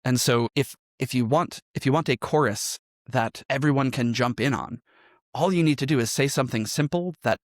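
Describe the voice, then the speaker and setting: Mid-pitched man explaining, dry close sound. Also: moderate pace, no echo. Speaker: interview guest, improv musical performer. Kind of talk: conversational podcast interview.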